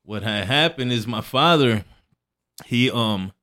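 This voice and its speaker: A man's voice: mid-range voice, expressive, takes it slowly, pushed and bright. A man from Puerto Rico and Chicago, a podcast guest chatting casually in street slang with frequent conversational tags. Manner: slangy, punctuated with "you know what I'm saying". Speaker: podcast guest, event promoter. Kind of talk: casual autobiographical chat.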